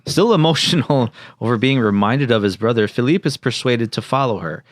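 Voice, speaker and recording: A man's voice: mid-range voice, expressive, brisk, no echo. Man with a very dry, close sound. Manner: jokey, interjects and riffs. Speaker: podcast co-host. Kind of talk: reading a plot summary with joking asides.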